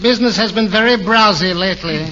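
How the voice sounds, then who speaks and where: An actor playing a wisecracking middle-aged furniture shopkeeper in a 1940s radio comedy. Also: male voice, sits high, fast talker, natural voice. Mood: joking. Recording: dull, hissy 1940s radio recording.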